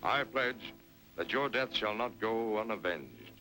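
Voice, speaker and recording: An actor playing a noble, resolute western hero with stately, earnest oratory in an old-time radio drama. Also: male voice, on the low side, sing-song, a little slow, sharp tone, soft background music, exaggerated voice, low-quality recording. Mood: solemn, resolute.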